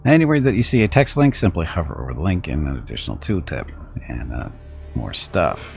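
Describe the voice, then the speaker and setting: A middle-aged man with an extremely low, gravelly voice, casually chatting while he plays a game. His delivery is rambling and self-deprecating, with a lively, swooping pitch, and faint game music plays behind him. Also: brisk. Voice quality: deep. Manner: rambling, self-deprecating, reads on-screen text aloud. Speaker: streamer. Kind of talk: casual let's-play commentary.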